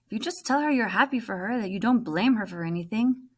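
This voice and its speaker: A woman's voice: mid-range voice, animated, quick pace, breathy edge. A woman voicing a loyal, well-meaning boy from a storybook, coaxing and earnest.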